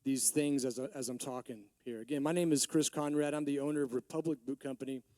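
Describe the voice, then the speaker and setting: A man in his forties from Texas, a business owner giving a rambling conference talk with many restarts, his voice a little hoarse from allergies. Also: medium pitch, steady tone, a little fast, mellow, no echo. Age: 40s. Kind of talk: conference speech.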